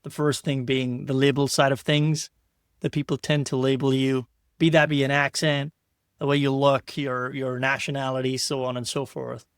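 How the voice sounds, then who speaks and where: A man's voice: medium pitch, little pitch movement, normal speed, smooth, no echo, studio quality. A man hosting an interview podcast, talking in a loose, rambling way with repeated words and restarts. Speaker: podcast host. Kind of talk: casual interview chat.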